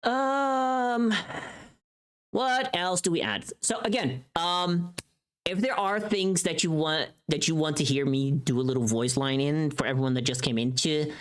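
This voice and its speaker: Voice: mid-range voice, lively intonation, measured pace. A streamer chatting casually on a livestream in a playful, teasing, sweary way.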